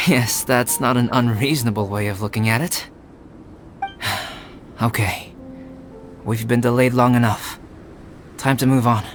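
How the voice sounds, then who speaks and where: An actor playing a calm, clever young alchemist in a video game, measured and articulate. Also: male voice, mid-range voice, natural voice. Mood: wry amusement. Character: calm, clever young male alchemist, composed and gently wry. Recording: game music under voice.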